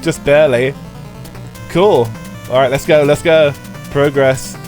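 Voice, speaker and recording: A man's voice: a little high, steady tone, unhurried, darkish tone, soft background music, rough recording. A man with a stuffy head cold, chatting casually to live viewers while playing an old racing game. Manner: rambling, chatty, talks to chat viewers. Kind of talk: casual live-stream commentary.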